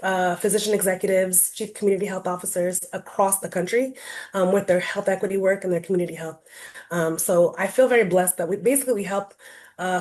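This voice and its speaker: A woman's voice: medium pitch, a little mellow. A woman from Rhode Island giving a casual online presentation on a video call, chatty and loose, with lots of "um" and "like". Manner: chatty, many fillers like um and like.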